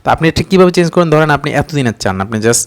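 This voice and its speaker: A man's voice: on the high side, lively intonation, fast talker. A man narrates an informal phone app tutorial step by step, instructing repetitively, prompting with rhetorical questions and mixing in English words. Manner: mixes English words into speech. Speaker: tutorial presenter.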